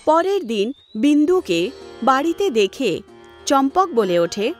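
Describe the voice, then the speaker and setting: A woman's voice: high-pitched, big pitch swings, takes it slowly. A woman narrating a scripted fairy tale as a cartoon storyteller. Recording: voice over background music.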